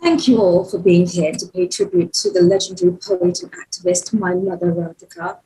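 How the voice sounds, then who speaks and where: A woman's voice: mid-range voice, big pitch swings, normal speed, vocal fry, warm tone, poor audio. A woman acting in a table read as an earnest, easily exasperated daughter in her early thirties. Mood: earnest, solemn. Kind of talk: speech read from a screenplay.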